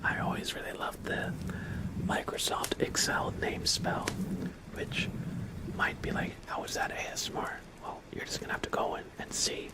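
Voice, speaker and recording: A man hosting an ASMR livestream, chatting softly and mostly in whispers close to the microphone. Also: poor audio. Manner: slow, soft, mostly whispered.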